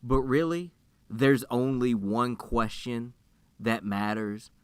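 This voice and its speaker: A man reading a scripted segment intro, building it slowly and deliberately. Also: mid-range voice, fairly even intonation, clean tone, no echo.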